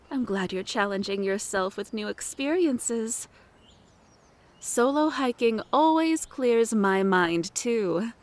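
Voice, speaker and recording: A woman plays a mature, motherly woman of the woods in an ASMR roleplay. Her voice sits fairly high and she takes her time over every phrase. She is flirtatious and doting, coaxing the listener with pet names.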